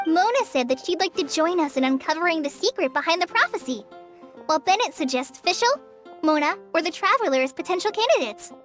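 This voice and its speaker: A woman's voice: very high voice, animated, brisk, fairly bright tone. A woman playing a friendly, idealistic young girl in a video game, explaining things in an encouraging way. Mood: informative. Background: faint game music.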